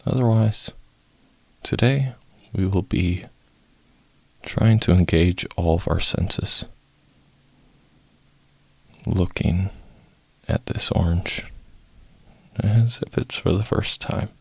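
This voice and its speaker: Low, slow, gravelly man guiding meditation. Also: no room sound. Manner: slow, soft-spoken, long pauses between short phrases. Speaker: guided meditation host. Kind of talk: guided meditation.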